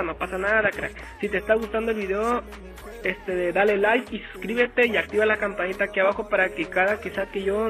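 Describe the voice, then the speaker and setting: A young man, giving casual, slangy gameplay commentary as a youtuber, excitable and repeating himself. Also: high voice. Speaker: gaming youtuber. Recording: game music underneath. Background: game music.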